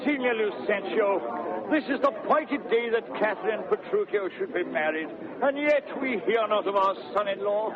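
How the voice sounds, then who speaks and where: Anxious flustered old father, high voice, 1930s radio drama, very narrow tinny sound. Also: animated, normal speed, a crowd in the background. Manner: fussy, anxious. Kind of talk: 1930s radio Shakespeare acting.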